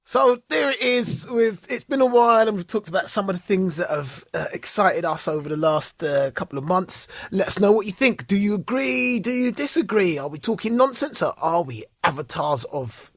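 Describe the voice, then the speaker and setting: Man co-host, husky voice, very lively pitch, dry sound. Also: moderate pace, hardly any pauses, no echo. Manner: lively, laughing, quotes scenes with playful emphasis. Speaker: podcast co-host. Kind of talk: casual chat.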